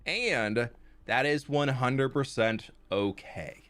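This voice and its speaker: A man's voice: medium pitch, slow pace, a bit bright. A man casually chatting with his chat while building in a game, sounding a bit stuffed up. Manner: laid-back, reads chat and answers between building. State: stuffed-up nose. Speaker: Minecraft streamer.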